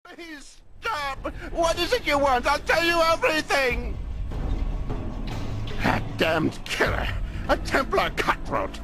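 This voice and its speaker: An actor playing a florid, lecherous and cowardly old wealthy merchant in a video game. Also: male voice, very high-pitched, sing-song, slow, full character voice. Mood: terrified, pleading. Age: older. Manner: florid, blustering, stammering when cornered. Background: music.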